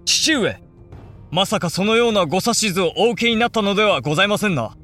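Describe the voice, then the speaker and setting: An actor playing a dutiful but resentful young samurai in a period audio drama, with formal, emotional speech. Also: male voice, sits high, lively intonation, fairly quick, pushed and bright, faint music behind. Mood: shocked protest.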